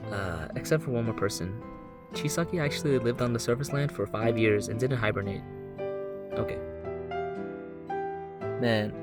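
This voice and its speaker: A man narrating an anime review in a casual, scripted video-essay style, with joking, mock-exasperated asides. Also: mid-range voice. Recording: background music under voice. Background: music.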